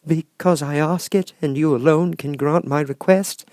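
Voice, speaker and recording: Storybook tin man, male reader acting: lifted pitch, earnest, courteous, plaintive, longing. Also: steady tone, moderate pace, darkish tone, no room sound, studio quality, close to an everyday voice. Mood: earnest pleading. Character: gentle earnest tin woodman longing for a heart.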